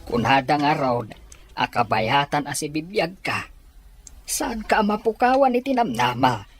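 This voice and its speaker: A woman acting a worried but hopeful parent in an Ilocano audio drama, with a husky voice. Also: low voice, lively intonation, a little slow, slight background noise.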